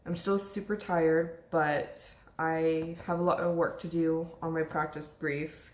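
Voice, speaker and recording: A young woman, a law student vlogging casually, chatty and upbeat with lots of fillers, her voice slightly hoarse. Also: low voice, level tone, unhurried, reverberant. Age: 20s. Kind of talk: casual vlog chat. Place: home kitchen.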